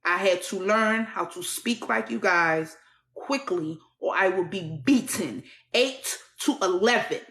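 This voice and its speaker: A woman's voice: mid-range voice, measured pace, a bit bright, reverberant. A woman from Trinidad who speaks with an American accent, telling her own story in a blunt, candid and raw way, with swearing and wry laughs. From Trinidad and Tobago. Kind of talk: personal testimony to camera.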